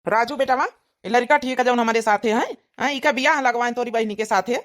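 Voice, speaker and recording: A woman's voice: on the high side, fast talker, close to an everyday voice. A woman voicing a chatty middle-aged village matchmaker uncle in a cartoon comedy, rambling persuasively with an Awadhi accent.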